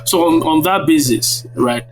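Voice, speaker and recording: A man's voice: fairly high pitch, a little slow. A man in his thirties with a Nigerian accent, answering interview questions like a lecturer, phrasing hesitantly and checking with tags. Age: 30s. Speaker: guest, university lecturer. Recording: very noisy online audio-room connection.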